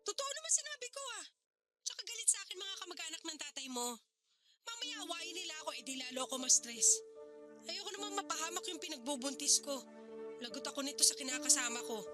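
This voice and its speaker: A woman's voice: sits high, big pitch swings, quick pace, loud music behind the voice. A woman playing a selfish, defensive pregnant mother in a Filipino radio drama, with a whiny, exasperated, dismissive delivery.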